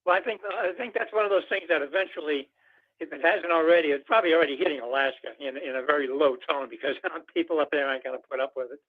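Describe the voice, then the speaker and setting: A man's voice: a little high, fast. A man calling a radio show over a phone line, chatting about politics in a rambling, folksy way full of filler phrases. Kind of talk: casual political phone-in chat. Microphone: telephone. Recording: tinny narrowband phone line.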